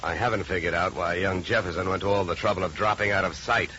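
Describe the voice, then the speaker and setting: Bright, hard-edged wisecracking radio detective. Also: male voice, low voice, steady tone, fast talker. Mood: shrewd, confident. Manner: clipped, sardonic, questioning. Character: cool, shrewd, wisecracking male private detective. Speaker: lead actor in a detective radio serial. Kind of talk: 1950s hardboiled radio acting. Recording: dull, hissy 1950 radio recording.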